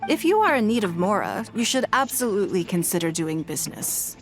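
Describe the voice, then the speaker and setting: An actress playing a poised, wealthy and shrewd businesswoman in a video game, speaking in a composed, formal, measured way. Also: medium pitch, very animated, faint music behind. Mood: gracious, composed. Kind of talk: voiced video game dialogue.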